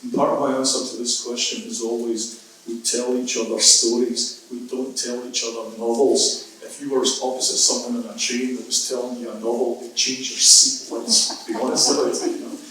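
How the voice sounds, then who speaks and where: A man's voice: mid-range voice, little pitch movement, normal speed, sharp tone, a little hiss or hum. A man in his fifties with a Scottish accent, a writer talking thoughtfully and anecdotally at a literary event. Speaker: writer on a panel. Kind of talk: reflective conversation at a literary event. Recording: echoey room, mic far off.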